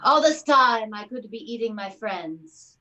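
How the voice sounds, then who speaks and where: A woman playing a laid-back bug-eating creature in an improv monologue on a video call, deadpan and mock-dramatic. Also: on the high side, measured pace.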